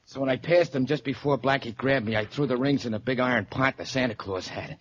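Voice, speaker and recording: A man's voice: mid-range voice, brisk, a bit bright, natural voice. Man playing a rattled small-time thief, muffled radio. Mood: subdued, explaining. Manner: slangy, ungrammatical, protesting. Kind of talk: 1940s radio crime drama acting. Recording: dull old radio recording, faint noise.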